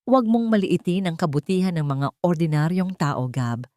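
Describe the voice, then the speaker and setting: Woman narrator as rich, kindly father in romance story: low, measured, earnest advice. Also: expressive, darkish tone, no echo, clean studio sound, close to an everyday voice. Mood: gentle, earnest. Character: wise, gentle wealthy father giving advice.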